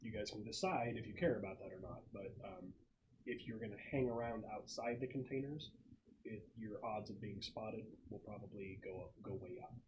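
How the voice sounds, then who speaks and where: A man's voice: on the low side, normal speed, muffled recording. Man running a tabletop game, noisy room. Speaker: game master. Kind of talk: casual tabletop game narration and rules talk.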